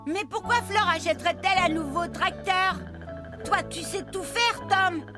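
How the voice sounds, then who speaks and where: A woman's voice: extremely high pitch, a little slow. A woman voicing an encouraging farm friend in a children's cartoon, reassuring in manner. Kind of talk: French children's cartoon dub. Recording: loud music behind the voice.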